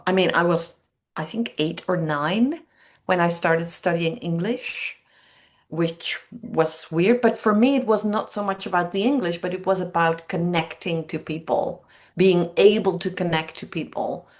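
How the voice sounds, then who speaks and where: A woman in her fifties with a Dutch accent, a native Dutch speaker, giving reflective interview answers as a coach, often pausing between phrases. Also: on the low side. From Netherlands. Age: 50s. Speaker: interview guest, training coach.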